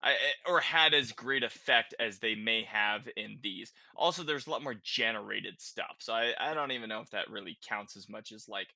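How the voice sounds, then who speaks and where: A man's voice: lowish voice, creaky, bright, forceful tone, dry recording. A man hosting a podcast, chatting casually about video games in an informal way, as if thinking aloud. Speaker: podcast host.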